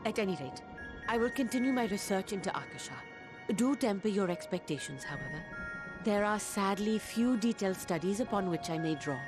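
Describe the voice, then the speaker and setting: A woman's voice: medium pitch, animated, a little fast, close to an everyday voice. An actress voicing an earnest, caring woman scholar in a video game, speaking in formal, precise language. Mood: gentle, caring. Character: earnest, caring woman scholar theorizing about mysterious energy. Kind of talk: scripted video game voice acting. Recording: background music under the voice.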